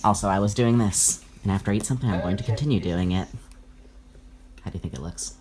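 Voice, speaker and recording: A man's voice: low voice, fast talker, crowd noise. A man vlogging in casual, everyday conversation. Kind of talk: casual vlog chat. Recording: noisy handheld vlog recording.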